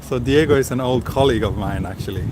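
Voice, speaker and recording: A man's voice: mid-range voice, fast. Chatty man on a street live stream. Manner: chatty, reads viewer comments aloud, hesitant fillers. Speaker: livestream walking vlogger. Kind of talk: casual live-stream chat. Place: outdoors on a quiet city street. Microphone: handheld camera with small recorder mic and wind cover. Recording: street noise around handheld mic. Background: city street ambience.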